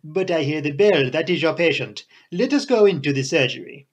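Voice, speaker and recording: A man's voice: a little high, animated, fairly quick, dull audio. A man voicing a fussy, genial foreign detective with florid, formal phrasing in an audiobook. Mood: genial, teasing. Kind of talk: audiobook character voice.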